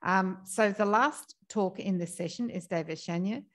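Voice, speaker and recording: A woman of middling pitch chairs a conference session, announcing breaks and introducing speakers. She is informal and a little halting, with frequent fillers. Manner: informal, hesitant, many fillers. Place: conference room.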